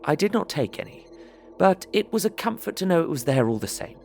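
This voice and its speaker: An actor playing a young, earnest traveller, narrating his diary in a measured, uneasy way. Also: high-pitched, sing-song, creaky, a little mellow, faint music behind, heavily acted. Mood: apprehensive. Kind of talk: dramatised first-person diary narration.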